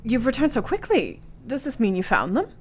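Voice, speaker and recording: An actress playing an earnest, brave young gentlewoman in a radio play, with formal period phrasing. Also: fairly high pitch, huge pitch swings, fairly quick, very noisy. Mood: anxious. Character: earnest, brave young married gentlewoman under a vampire's curse.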